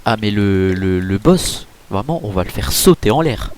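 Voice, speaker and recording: A man giving casual, chatty let's-play commentary over a game, full of fillers and quick reactions. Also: lowish voice, expressive, darkish tone, a little hiss or hum. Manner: chatty, filler-heavy, easily impressed. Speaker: gaming YouTuber. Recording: game music playing behind.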